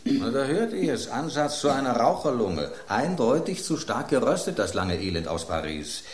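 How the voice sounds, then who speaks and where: A man's voice: medium pitch, sing-song, moderate pace, echoey room, close to an everyday voice. A man voicing a jealous, mocking household loaf of bread in a radio play, sardonic and declamatory. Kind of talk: German radio play acting.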